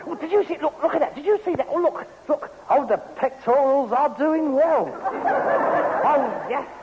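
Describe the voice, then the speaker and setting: An actor playing a frustrated, self-important London Cockney son with grand ambitions in a 1960s radio sitcom. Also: male voice, extremely high pitch, lively intonation, moderate pace. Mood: self-admiring. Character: frustrated, pretentious rag-and-bone man son with grand ambitions. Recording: dull, noisy 1960s radio recording.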